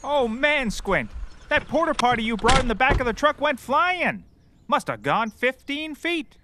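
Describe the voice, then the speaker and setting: Guy doing a goofy dim private eye, high bright voice, swings all over, bombastic comedy. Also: big pitch swings, measured pace, a little hiss or hum, full character voice. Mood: excited. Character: clueless, excitable, self-important goofball private eye. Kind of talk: scripted audio comedy acting.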